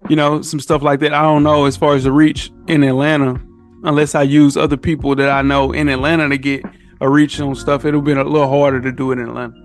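A man's voice: a little high, little pitch movement, fast, soft background music. An American man chatting casually and informally as a podcast co-host. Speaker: podcast co-host appearing as guest. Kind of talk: casual chat.

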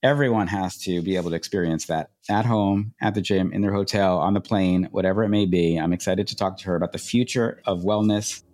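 Casual, animated low-voiced man co-host. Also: fairly quick, few pauses. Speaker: podcast co-host. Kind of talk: podcast chat and interview.

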